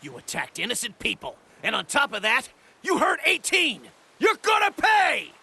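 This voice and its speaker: A man's voice: extremely high pitch, huge pitch swings, normal speed, creaky, exaggerated voice. A man voicing an earnest, protective martial-arts hero in a video game cutscene, impassioned and strained. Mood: outraged, anguished. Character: earnest, protective heroic husband, a small martial artist. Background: loud music.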